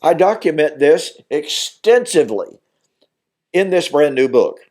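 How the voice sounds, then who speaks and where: A man's voice: a little high, very animated, unhurried, a little mellow. Older man with a strained voice. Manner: earnest, building to emphatic insistence on key points. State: weakened voice recovering from voice loss. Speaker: minister and video teacher. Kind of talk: Bible teaching monologue.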